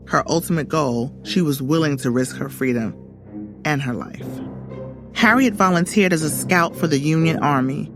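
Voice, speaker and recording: A woman reading a scripted story for children as its narrator. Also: low-pitched. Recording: music under the voice. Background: background music.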